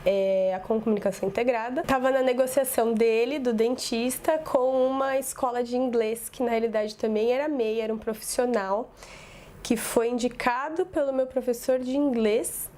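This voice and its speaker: A woman's voice: fairly high pitch, fairly even intonation, moderate pace, mellow, slight background noise. Rambling woman telling her story. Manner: rambling, self-correcting, conversational. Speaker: communications business owner and professor. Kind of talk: casual personal storytelling to camera. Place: home office.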